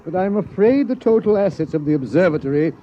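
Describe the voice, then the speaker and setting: An actor playing a polite, flustered scientist in charge of an observatory, hesitant and stammering, in a radio comedy. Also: male voice, high-pitched, animated, quick pace, warm tone. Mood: flustered, apologetic. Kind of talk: mid-century radio comedy acting. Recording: dull, hissy old radio recording.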